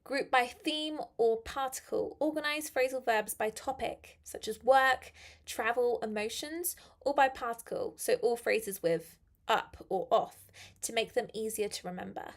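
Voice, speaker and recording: A young woman leads a live lesson, her voice a touch croaky and pitched a little high. She talks in a friendly, chatty way, with fillers, and slows down to stress the key phrases. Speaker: English teacher. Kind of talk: live-stream English lesson.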